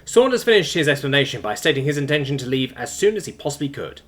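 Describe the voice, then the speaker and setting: A man reading a science fiction story aloud as a scripted, expressive audiobook narration. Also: mid-range voice, fast.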